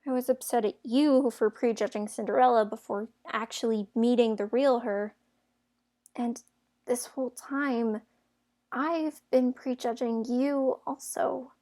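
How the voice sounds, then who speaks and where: A woman's voice: on the high side, steady tone, measured pace, mellow, close to an everyday voice. A young woman playing a guarded but feisty and sincere young heroine in a fairytale audio drama. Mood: apologetic, vulnerable.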